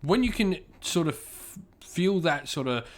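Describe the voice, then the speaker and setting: A man's voice: fairly high pitch, expressive, unhurried. A man giving an informal spoken review as a channel host, enthusiastic, stressing words and often circling back to repeat himself.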